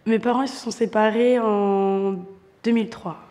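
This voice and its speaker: A woman's voice: medium pitch, fairly even intonation, moderate pace, dark tone. A woman in her twenties hesitantly describing her family in a documentary interview. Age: 20s. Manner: hesitant, trails off. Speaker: trainee interviewee. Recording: reverberant room.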